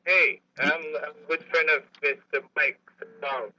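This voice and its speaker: A man calling a radio show by phone, speaking in an earnest, halting way. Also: on the high side, steady tone, sharp tone. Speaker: phone-in caller, tour guide and dive master. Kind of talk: unscripted complaint to a radio show. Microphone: telephone. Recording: dull lo-fi phone line on radio.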